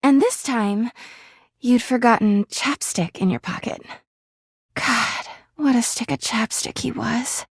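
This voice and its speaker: A woman's voice: a little high, slow, gravelly, slightly rough, no echo, studio quality, full character voice. A woman voicing a clingy, jealous talking laundry hamper in a video game, gushing sweetly and flipping into bitter drama. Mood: wistful, confiding. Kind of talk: video game character voice acting.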